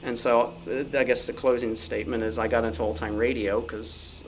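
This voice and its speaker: A man's voice: mid-range voice, flat delivery, a little fast, slight background noise, poor audio. A man giving an informal, self-deprecating, anecdotal talk as a researcher and author at a club meeting. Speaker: old-time radio researcher and author. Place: club meeting room, indoors.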